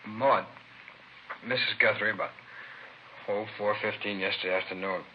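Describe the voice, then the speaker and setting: A dull old radio recording with faint hiss holds a man acting a distraught witness. He pleads haltingly and emotionally in a slightly low voice, in 1950s drama style. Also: steady tone, close to an everyday voice. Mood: grieving, halting. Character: distraught, pleading male parking-lot attendant witness.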